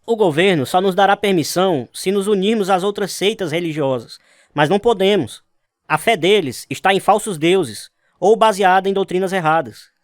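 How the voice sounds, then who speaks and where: Actor as earnest Brazilian missionary man, highish voice. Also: moderate pace, no echo, studio quality, close to an everyday voice. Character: earnest male missionary husband recounting his life story. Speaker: narrator and lead character. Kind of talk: dramatized radio testimony, scripted narration and dialogue.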